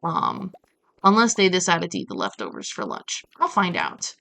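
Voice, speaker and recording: A woman from Brazil chatting casually as she streams a game, telling stories in an animated way with playful asides. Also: lowish voice, moderate pace, vocal fry. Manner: animated storytelling with acted-out quotes and playful asides. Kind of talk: casual chat while playing a game on a livestream.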